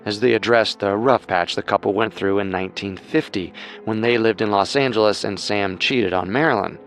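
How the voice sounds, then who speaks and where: Low-pitched male true-crime narrator. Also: moderate pace, fairly bright tone, soft background music. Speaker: host and narrator.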